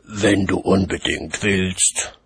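Man playing a gruff, very husky weary watchman. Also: deep voice, animated, unhurried, a bit bright, dry recording, heavily acted. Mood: irritated. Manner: gruff, dry, sarcastic. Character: grumpy, sardonic, weary male city watchman.

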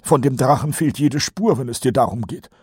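A man voicing an anxious, dutiful male sergeant in a higher, eager voice, in a dry studio read. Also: normal speed, a little mellow, slightly rough, no echo. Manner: eager, higher-pitched, a bit worried.

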